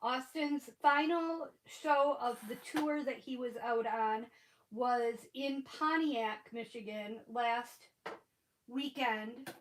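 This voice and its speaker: Woman, high voice, sniffly head cold, chatty and rambling, casual livestream host. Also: slow pace, a little hiss or hum, low-quality recording. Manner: chatty, rambling, laughs often.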